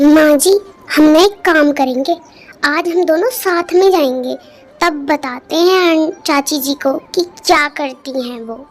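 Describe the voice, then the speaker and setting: A bold young daughter-in-law from a storybook tale, speaking in a high voice, as a woman acts her out. She is frank and sharp-tongued. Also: a little slow, soft background music.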